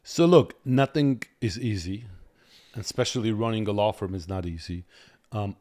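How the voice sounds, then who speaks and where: A man's voice: lowish voice, moderate pace, darkish tone. A middle-aged man from Armenia with an Armenian accent, Armenian his native tongue, talking earnestly as a guest lawyer in a podcast interview and often repeating words as he starts a thought. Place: podcast studio.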